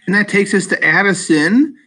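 A man hosting an interview podcast, speaking in a measured, encouraging way to his guests. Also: high voice, expressive, pushed and bright, rough recording. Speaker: podcast host. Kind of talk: podcast interview with school play actors.

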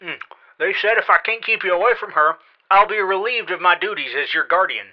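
A woman's voice: on the low side, a little fast. A woman playing a callous, crude aunt and guardian, blunt and scornful, heard through a phone filter in an audiobook. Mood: cold, dismissive. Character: callous, crude aunt guardian berating her teenage nephew. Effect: phone-call filter. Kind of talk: audiobook character dialogue. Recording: dull phone-filtered voice, music behind.

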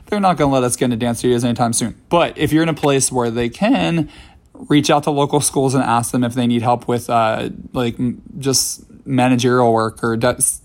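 A man in his thirties hosts a solo podcast and talks in a loose, rambling way, full of "um"s and "like"s. His voice is a bit hoarse and worn. It sits at an ordinary middle pitch. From New York City. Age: 30s. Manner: rambling, many fillers and restarts. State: slightly hoarse, voice still recovering. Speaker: podcast host. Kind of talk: casual solo podcast talk.

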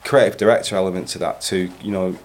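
Lively Bolton man telling stories. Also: low-pitched, fast. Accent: Northern English, Bolton. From Bolton, England. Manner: animated, swearing, laughing storyteller. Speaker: podcast guest. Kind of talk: casual chat.

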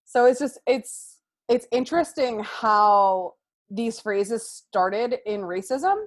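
A woman's voice: on the high side, takes it slowly. A young American woman, a sixth grade teacher, speaking as an interview guest in an earnest, explanatory way. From United States. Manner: earnest, explanatory, frequent "um" and "right?". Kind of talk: conversational interview.

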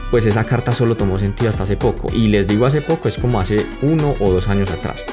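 A man's voice: on the low side, quick pace. A young man from Colombia with a Colombian accent, hosting a podcast and telling a personal story in a reflective, conversational way. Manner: reflective, conversational, speaks straight to listeners. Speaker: podcast host. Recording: music playing under the voice.

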